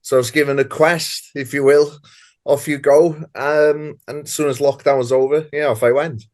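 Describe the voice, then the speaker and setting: A man's voice: a little high, flat delivery, moderate pace. A man in his thirties from North Wales with a North Welsh accent, an author telling rambling anecdotes as a podcast guest. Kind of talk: casual podcast interview.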